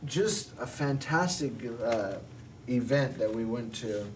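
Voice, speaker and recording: A man's voice: mid-range voice, lively intonation, takes it slowly, distant mic, a little hiss or hum, low-quality recording. A man hosting a small local TV show, telling a personal story in a rambling, casual way with many restarts. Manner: rambling, with frequent restarts and laughs.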